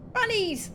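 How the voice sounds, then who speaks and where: A woman streaming a game with casual, exclamatory reactions, sometimes reading dialogue aloud, with music behind her. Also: noisy background. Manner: expressive, exclamatory.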